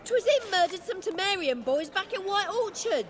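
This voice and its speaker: A woman's voice: extremely high pitch, sing-song, normal speed, a crowd in the background. A woman playing a hostile, accusing commoner in a tavern in a fantasy game.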